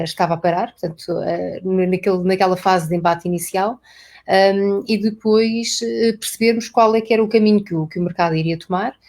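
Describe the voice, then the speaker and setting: A woman's voice: mid-range voice, darkish tone. A woman from Portugal with a European Portuguese accent, a company CEO speaking as a guest in a live online interview, hesitant, with many fillers and repeated words. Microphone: video call.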